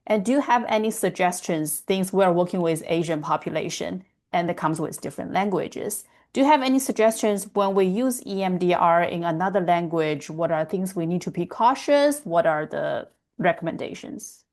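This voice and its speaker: A woman's voice: lowish voice, animated, moderate pace, hardly any pauses, a little mellow. A woman moderating a webinar, putting questions to a presenter in a question and answer session.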